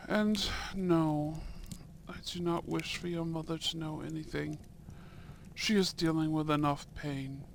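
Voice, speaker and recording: A man playing a young, courteous and shrewd Edwardian viscount in a romantic audio roleplay, with formal, deliberate phrasing and soft murmurs. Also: a little high, steady tone, vocal fry, slight background noise. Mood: grave, confiding.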